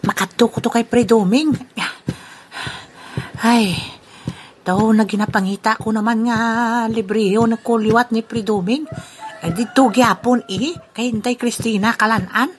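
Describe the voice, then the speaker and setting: A woman's voice: on the high side, fairly even intonation, measured pace, a little hiss or hum, natural voice. A woman with a Western Visayan Filipino accent playing a gluttonous, grumbling male freeloader in a comic audio drama. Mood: stuffed, lazy.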